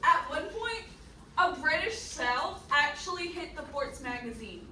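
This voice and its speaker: A woman with a high, bright voice narrates a scripted comedy of history. She is theatrical and drops sardonic asides. The recording is roomy and distant. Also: sing-song, measured pace. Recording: roomy, distant mic, faint noise.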